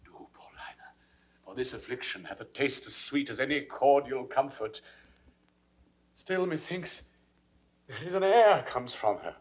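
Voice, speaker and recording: A man's voice: medium pitch, lively intonation, natural voice. A British man acting a grieving, awestruck king in classical Shakespearean audio drama, declamatory and fervent. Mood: fervent yearning. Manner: declamatory, fervent, drawn-out delivery.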